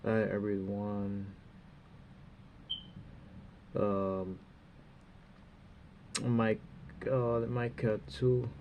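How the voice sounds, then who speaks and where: A man with a stuffy, runny nose giving a rambling spiritual talk, halting and full of fillers, often ending phrases with "man". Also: fairly low pitch, little pitch movement, lo-fi sound, a little hiss or hum. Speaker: religious speaker.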